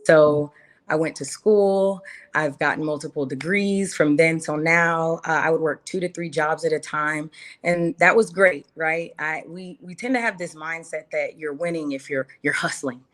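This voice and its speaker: Low-pitched woman, emphatic storyteller. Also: steady tone, normal speed, a bit bright. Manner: emphatic, repeats phrases and rhetorical questions. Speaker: interview guest. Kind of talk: casual personal storytelling.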